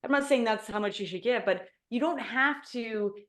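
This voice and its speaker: A woman's voice: on the high side, moderate pace. A woman in a casual book club discussion, arguing her point in an indignant way.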